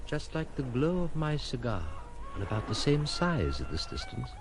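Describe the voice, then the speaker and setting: An actor playing a solitary, disillusioned island exile, speaking in introspective, rueful monologue in an audio drama. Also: male voice, mid-range voice, very animated, moderate pace, music in the background. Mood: wry, reflective.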